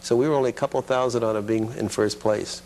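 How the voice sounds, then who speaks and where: A middle-aged man, a guest in a casual interview, reminisces haltingly and pauses as he searches for details. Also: fairly low pitch, steady tone, darkish tone, a little hiss or hum. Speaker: talk show guest; advertising executive, former children's TV producer.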